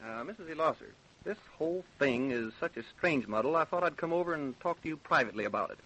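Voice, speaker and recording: An American actor, a man, playing an even-tempered, probing coroner in an old-time radio drama, speaking in a deliberate, official way. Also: on the high side, low-quality recording. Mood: calm, persuasive. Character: probing, even-tempered male small-town coroner investigating deaths.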